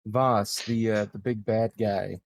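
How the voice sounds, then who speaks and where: A man with a fairly low voice makes casual, irreverent remarks as a tabletop player. There is faint noise behind him. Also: steady tone, takes it slowly, creaky. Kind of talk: casual tabletop game chat.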